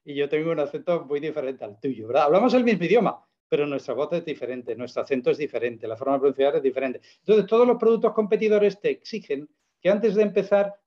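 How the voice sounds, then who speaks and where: Male interview guest, persuasive and emphatic, a touch high-pitched, on a dull lo-fi recording. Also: expressive, fast. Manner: emphatic, persuasive explaining with rhetorical questions. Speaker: guest presenting a product. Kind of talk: casual interview.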